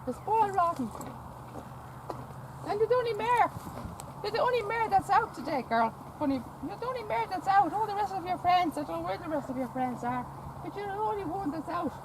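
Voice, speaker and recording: A woman with a high voice stands outdoors in a stud farm paddock, cooing at a mare. She repeats herself and trips over words as she chats. Wind and farm sounds come through clearly around her camera audio. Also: lively intonation, fairly quick. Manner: repeats phrases, stammers on words; coaxing baby talk to the mare. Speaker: vlogger visiting a stud farm. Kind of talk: casual talk to a horse and companion. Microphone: camera held by companion.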